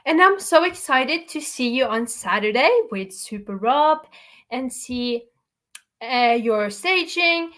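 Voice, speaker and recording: Bubbly young Norwegian woman, high voice. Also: expressive, fairly bright tone. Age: young adult. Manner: bubbly and encouraging, quick to laugh. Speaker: host. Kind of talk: casual livestream interview.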